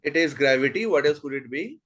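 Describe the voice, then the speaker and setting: A man's voice: on the high side, lively intonation, fairly bright tone. A man hosting a quiz, reading out questions and chatting with the players between them. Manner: brisk, chatty, breaks into easy laughter between questions. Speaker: quizmaster.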